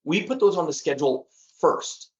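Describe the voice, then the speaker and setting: A man's voice: fairly high pitch, animated, normal speed, dull audio. A middle-aged man speaking earnestly as a podcast guest, often repeating words and laughing at himself. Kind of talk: casual interview chat.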